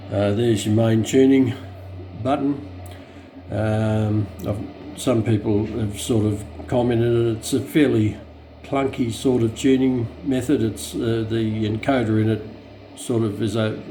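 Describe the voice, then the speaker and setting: An Australian man with a fairly low voice talks casually through a hands-on demonstration. He is hesitant, pausing often as he finds his words. There is some light background noise in the room. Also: warm tone, poor audio. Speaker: radio hobbyist presenter. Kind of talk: casual unscripted product walkthrough. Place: indoors.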